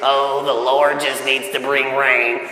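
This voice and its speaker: A speaker voicing a gloomy, whiny complainer with a slow, droning drawl. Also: medium pitch, little pitch movement, sharp tone, voice pushed down. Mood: gloomy, complaining. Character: gloomy complaining grumbler who hates every kind of weather. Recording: echoey hall with long reverb.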